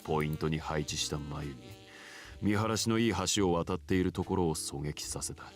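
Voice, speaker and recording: Cocky gangster, very low voice, brisk, blunt and offhand, visual novel dialogue, music behind. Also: male voice. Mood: cool, offhand. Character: jaded, cocky gangster man, coolly recounting schemes.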